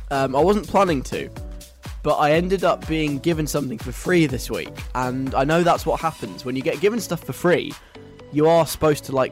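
A young British man hosting a radio show in a conversational, wry, chatty style. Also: medium pitch.

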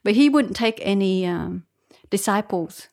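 Halting woman from Vietnam and Australia, reflective. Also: medium pitch, expressive, vocal fry, darkish tone. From born in Vietnam, grew up in Australia from age eight. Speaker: podcast guest, Buddhist nun. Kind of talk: reflective personal storytelling in an interview.

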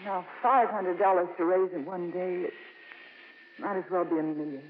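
An actress playing an earnest, gentle nun in a 1950s radio drama, hopeful and a little halting. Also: female voice, fairly low pitch, steady tone, close to an everyday voice. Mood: dismayed. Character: earnest, hopeful nun raising money for her cause. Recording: old, lo-fi, hissy radio broadcast.

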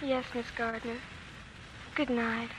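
A woman's voice: a little high, flat delivery, slow. An actress playing a sensitive, fiercely loyal grieving schoolgirl in an earnest, emotional 1940s radio drama style. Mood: quiet, sorrowful. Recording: vintage 1940s radio, faint noise.

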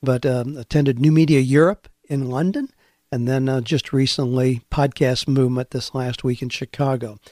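A man's voice: mid-range voice, fairly even intonation, moderate pace, mellow, no room sound, clean studio sound. A man hosting a career-advice radio show, talking in an earnest, encouraging and conversational way. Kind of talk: podcast radio monologue.

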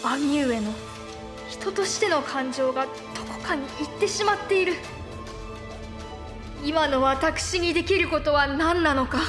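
A woman's voice: high-pitched, a little slow, long pauses, music in the background. A woman playing an anguished, principled noblewoman in a Japanese period voice drama, speaking formally and earnestly. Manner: formal, polite, earnest pleading. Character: anguished, principled samurai noblewoman, warlord's younger sister.

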